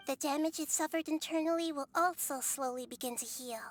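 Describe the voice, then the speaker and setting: Soft, girlish little goddess played by a woman. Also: high voice, slight background noise, lightly acted. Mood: thoughtful, explanatory. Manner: measured, composed, explanatory. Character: gentle, childlike little goddess, wise and calmly selfless. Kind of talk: video game voice acting. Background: music.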